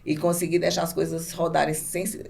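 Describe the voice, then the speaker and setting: A middle-aged woman with a Brazilian Portuguese accent answers interview questions conversationally, pausing and restarting as she searches for words. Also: sits low, level tone, a little mellow. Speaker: podcast guest, business owner. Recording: clearly noisy background ambience.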